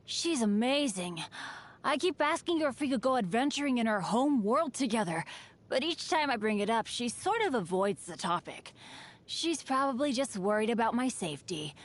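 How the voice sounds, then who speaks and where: A woman's voice: fairly high pitch, very animated, normal speed. A woman voicing an upbeat but unlucky teenage boy adventurer in a video game, with an earnest, chatty, boyish delivery. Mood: fond, earnest. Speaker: game character voice actor. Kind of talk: scripted game character voice lines.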